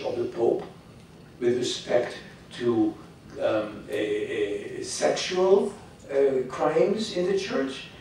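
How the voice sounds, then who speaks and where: Older man speaking haltingly in a hall. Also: mid-range voice, a little mellow, a little hiss or hum. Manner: halting, many restarts, opinionated. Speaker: guest speaker. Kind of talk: public talk with audience questions. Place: hall with audience. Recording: echoey hall from far off.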